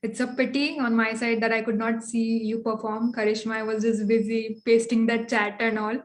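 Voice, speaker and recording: A woman with an Indian accent runs an online meeting. Her voice is on the high side, and she talks casually, repeating small confirmations as she organises things. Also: flat delivery, moderate pace. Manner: chatty, repeats confirmations like okay and right. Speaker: meeting host. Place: online video call.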